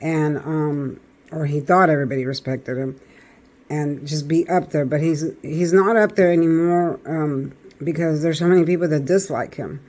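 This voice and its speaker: A man with a raspy voice doing a chatty tarot reading, talking straight to his viewers. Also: on the high side, fairly even intonation, moderate pace, darkish tone. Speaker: tarot reader.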